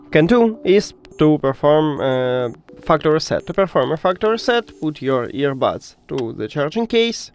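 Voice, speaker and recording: A man with a moderately high voice walks through a tech tutorial one step at a time. He is a non-native English speaker who pauses and hesitates to find his words. Soft music plays behind him. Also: clean tone. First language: not English.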